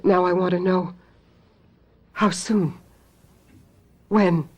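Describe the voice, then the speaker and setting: Insistent, foreboding psychic sister. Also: female voice, fairly low pitch, fairly even intonation, very slow, highs cut off. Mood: urgent, anxious. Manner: earnest, insistent, dramatic. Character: protective, forceful psychic older sister, foreboding. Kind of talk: old-time radio mystery acting.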